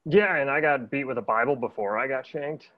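A man's voice: medium pitch, a little fast, lightly acted. Man reading sheepish hapless guy, dull lo-fi sound. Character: hapless, apologetic young man. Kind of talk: table read.

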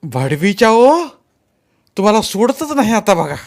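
A man voicing a bossy, aggressive village boy in a story, scolding and shouting in rough rural speech. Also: sits high, measured pace, pitched above the performer's own voice. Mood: angry, threatening.

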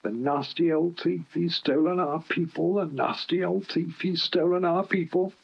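A cross, chattering female railway coach from a storybook, acted by a man. The voice is pitched up and chanting, on a dull recording. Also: fairly even intonation, normal speed, vocal fry, slightly rough.

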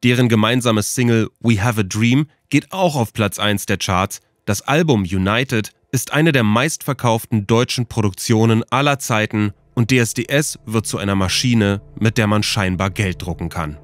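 A man's voice: on the low side, normal speed, few pauses, dry recording, clean studio sound. A man reading scripted narration as the host of a documentary podcast.

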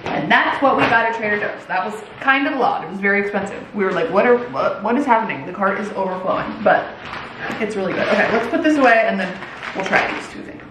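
A woman's voice: mid-range voice, animated, fast. An American woman in her thirties talking casually and chattily to camera, rambling along in girly slang as a lifestyle vlogger. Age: 30s. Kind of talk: casual chatty grocery haul vlog. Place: home kitchen, indoors. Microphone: camera mic a few feet away. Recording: echoey kitchen, clear background noise.

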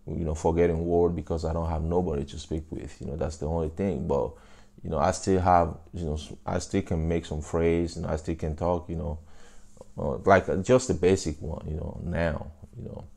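A man's voice: deep voice, creaky, warm tone. A man in his thirties from Africa, whose first languages are a local African language and French, giving earnest, encouraging advice to camera in a vlog, often saying "you know", recorded indoors on a lapel mic. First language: a local African language, then French. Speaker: vlogger. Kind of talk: casual talk to camera. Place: small indoor room, seated on a couch.

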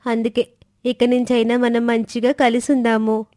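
A woman's voice: fairly high pitch, level tone, moderate pace, dark tone, no echo, clean studio sound, natural voice. A woman voicing a hot-tempered, arrogant schoolboy in a children's moral story. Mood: apologetic.

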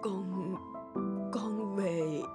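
A woman's voice: medium pitch, very slow. An actress playing an anguished, pleading mother in a cartoon, speaking emotionally. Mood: weak, sorrowful. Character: anguished, pleading mother of a hot-tempered son. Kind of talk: cartoon dubbing. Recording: clear music under the voice.

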